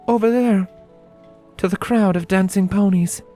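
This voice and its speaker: A woman voicing a wistful, blunt female pony in a dramatised fan fiction reading, speaking softly and deliberately over music. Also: mid-range voice, fairly even intonation, natural voice. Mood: playful.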